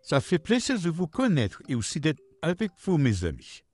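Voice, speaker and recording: A man's voice: fairly high pitch, huge pitch swings, normal speed, darkish tone. A man voicing a big, friendly blue puppet dog in a French comic dub, jovial and showy.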